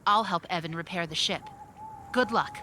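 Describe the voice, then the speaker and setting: An actress playing an earnest, resolute ally in a fantasy video game cutscene. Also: female voice, fairly low pitch, normal speed, sharp tone, slight background noise. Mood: earnest resolve.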